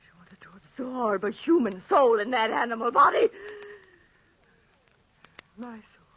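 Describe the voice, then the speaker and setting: A woman's voice: a little high, unhurried, a bit bright, husky, no room sound. An actress playing a frightened, obsessive dying woman in a 1940s radio drama, with breathless, melodramatic intensity. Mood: fearful, fading. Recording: dull, muffled 1940s radio recording.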